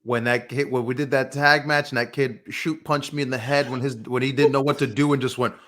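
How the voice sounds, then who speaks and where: A man's voice: mid-range voice, fairly even intonation, brisk, a bit bright. A man co-hosting a wrestling podcast with joking, slangy banter, repeating phrases for emphasis. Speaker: co-host of a pro wrestling podcast; pro wrestler.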